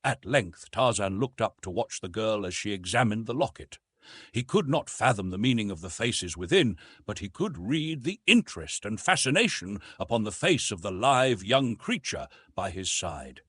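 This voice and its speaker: A man reading an adventure novel as an audiobook narrator, in a measured, literary and expressive manner. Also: fairly low pitch, husky, no room sound.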